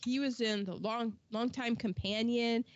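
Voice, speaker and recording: A woman's voice: fairly high pitch, fairly even intonation, unhurried, muffled recording, a little hiss or hum. A woman co-hosting a podcast in casual chat, running through film credits with asides and false starts. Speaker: podcast co-host.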